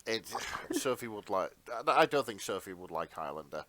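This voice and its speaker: A man's voice: on the low side, big pitch swings, normal speed, bright, forceful tone. A man co-hosting a podcast, chatting casually with wry jokes. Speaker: podcast co-host. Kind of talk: casual comedic banter.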